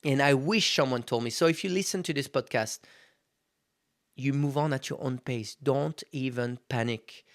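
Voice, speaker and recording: A man's voice: mid-range voice, normal speed. Candid, swearing man in his thirties. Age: 30s. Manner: candid, swears freely, addresses listeners as guys. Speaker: podcast host. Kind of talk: casual motivational monologue.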